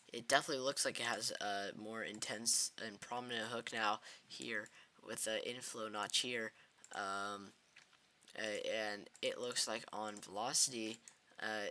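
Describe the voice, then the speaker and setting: A man hosting live severe weather coverage, talking in a halting way with many fillers and restarts. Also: fairly low pitch, pushed and bright. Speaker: livestream weather host.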